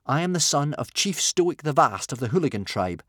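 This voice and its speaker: A man's voice: a little high, big pitch swings, a little fast, a bit bright, dry recording, studio quality, pitched above the performer's own voice. A man voicing a small, earnest and determined Viking boy hero in a storybook.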